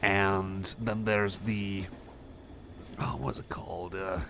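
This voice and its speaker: A man playing a shy, friendly cyborg music fan, chatting softly and hesitantly in a casual ASMR roleplay. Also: low voice, level tone, slow pace, background noise. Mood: shy, enthusiastic. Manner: hesitant, rambling, self-effacing.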